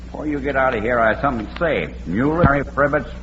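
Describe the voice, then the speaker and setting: A man's voice: medium pitch, fast, close to an everyday voice. A man acting in an old radio drama as a bitter, jealous farmer ex-husband, accusing and threatening. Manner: accusing, menacing. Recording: muffled, noisy, echoey vintage radio recording.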